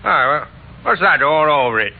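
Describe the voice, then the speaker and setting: Animated, high-voiced working man. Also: normal speed. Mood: chatty, curious. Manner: colloquial, exclamatory. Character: excitable, plain-spoken English working man. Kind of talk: old-time radio drama acting. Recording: thin, phone-like old radio recording.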